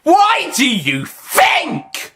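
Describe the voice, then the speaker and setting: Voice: huge pitch swings, unhurried, full character voice. A person voicing a ranting, villainous male time-lord who shrieks and cackles. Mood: gloating. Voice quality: shrill, shouty, cackling.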